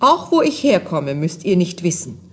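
A woman playing a mysterious, merry old little woman with a husky voice in a fairy-tale radio play. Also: on the low side, big pitch swings, moderate pace. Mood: chiding, secretive.

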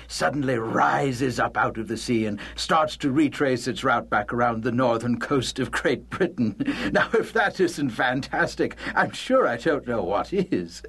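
A skeptical academic guest in a radio play. His mid-pitched voice is husky, his delivery wry, smug and dismissive. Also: a bit bright. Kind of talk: acted talk show interview.

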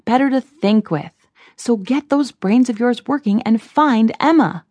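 A woman's voice: a little high, a little mellow, no echo, voice pushed up. Woman playing an impatient, bossy male fairy. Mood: exasperated. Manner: brisk commands, weary exasperation. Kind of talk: children's storybook character voice.

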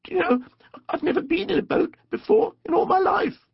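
A man voicing a shy, excitable young mole in a storybook, naive and breathlessly delighted. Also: very high voice, brisk, creaky, no echo, highs cut off, pitched above the performer's own voice, heavily acted. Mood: amazed delight. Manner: breathless, wide-eyed exclamations.

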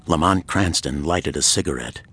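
Deep-voiced man narrating a pulp mystery. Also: moderate pace, background noise. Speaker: audiobook narrator.

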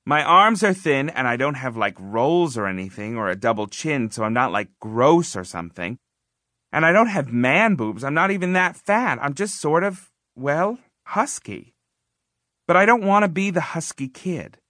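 A man reading a first-person children's novel as an audiobook narrator, expressive, confiding and conversational, with emphatic phrasing. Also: fairly high pitch, moderate pace, dry recording, clean studio sound.

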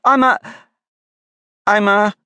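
Storybook little girl, prim and earnest, high voice; played by a male reader. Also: steady tone, takes it slowly, pushed and bright, dry recording, exaggerated voice. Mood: indignant, puzzled. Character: polite, truthful little girl, indignant.

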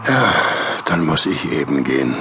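A deep-voiced grave old church elder. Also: male voice, big pitch swings, normal speed, noisy background. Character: aging church elder, solemn and burdened. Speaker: voice actor. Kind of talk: radio play acting.

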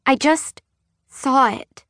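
A woman's voice: high-pitched, slow pace, fairly bright tone, dry recording, studio quality, voice pushed up. Woman doing a frightened little girl. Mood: scared. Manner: childlike, pleading, breathless. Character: sassy four-year-old girl, frightened.

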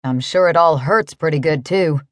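A woman voicing a kind, easygoing cowboy with a calm drawl in an audiobook story. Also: sits low, moderate pace, dry recording, voice pushed down, natural voice. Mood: kind, concerned.

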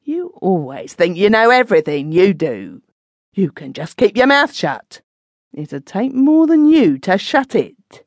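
Gruff bruiser, barking and scornful, as a reader puts him on. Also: medium pitch, sing-song, normal speed, no room sound, voice pushed up, natural voice. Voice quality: gruff, growling. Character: surly brawling London dockside man.

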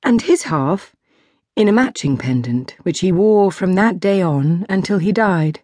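Storybook teen princess, played by a female narrator: dutiful, lonely, polite, earnest, lowish pitch, dry recording. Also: expressive, measured pace, mellow, dry recording. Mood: earnest, explaining.

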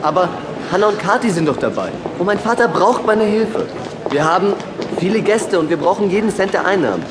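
An earnest teenage boyfriend who talks in a fairly high voice and pleads his case. Steady noise sits under the film sound. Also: a little fast. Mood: casual. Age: teenage boy.

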